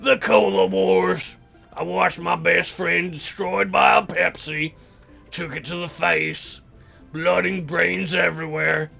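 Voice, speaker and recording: A game player voicing a sullen, dopey sixteen-year-old boy who grumbles slowly with world-weary self-importance. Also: medium pitch, fairly even intonation, gravelly, pushed and bright, soft background music, voice pushed down, heavily acted.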